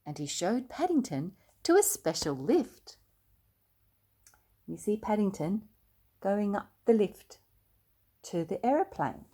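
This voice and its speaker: Slow, animated older woman reading storytime. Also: medium pitch, husky. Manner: expressive, prompting listeners with simple questions. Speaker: children's story reader. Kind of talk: storytime read-aloud with asides to young listeners.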